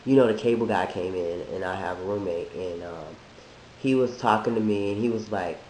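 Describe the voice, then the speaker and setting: A man who is sick, talking in a halting, thoughtful way with many restarts, in a reflective personal monologue. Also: low voice, darkish tone. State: sick, voice deeper than usual. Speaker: vlogger sharing a transition update. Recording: echoey room with faint hiss.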